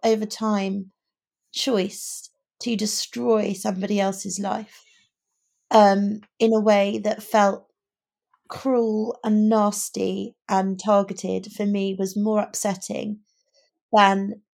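Woman discussing books, with a creaky edge. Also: mid-range voice, darkish tone. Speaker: podcast co-host. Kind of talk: conversational book discussion.

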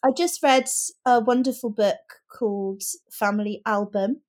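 A woman's voice: fairly high pitch, measured pace, darkish tone. A woman co-hosting a casual book chat, speaking in articulate, considered phrases. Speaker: podcast co-host.

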